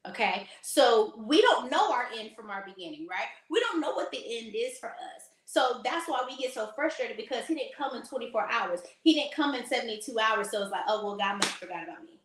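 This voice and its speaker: Slightly hoarse woman faith-talk host, sassy and emphatic, high-ish voice, roomy echo. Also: animated, fairly quick, hardly any pauses, fairly bright tone. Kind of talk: casual preaching to a live audience.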